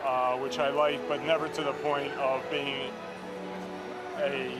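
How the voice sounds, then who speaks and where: A man's voice: medium pitch, level tone, slow pace, over loud music, rough recording. A middle-aged man giving blunt, critical answers in an interview. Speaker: convention visitor. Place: indoor convention hall.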